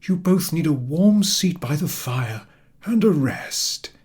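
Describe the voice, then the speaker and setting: A man voicing a kindly, generous old shoemaker in a storybook, with a hearty, gentle manner. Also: fairly high pitch, animated, slow pace, pitched above the performer's own voice. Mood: welcoming. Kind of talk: storybook character acting.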